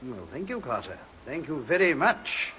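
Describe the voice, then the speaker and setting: An actor playing a brisk, dutiful police sergeant asking questions in an old-time radio drama. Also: male voice, medium pitch, very animated, natural voice. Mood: probing. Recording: muffled, very noisy old recording with clicks.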